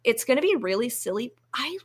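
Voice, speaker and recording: A woman's voice: fairly high pitch, big pitch swings, a little fast, breathy edge. A woman co-hosting a podcast in casual, informal fan chat. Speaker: podcast co-host.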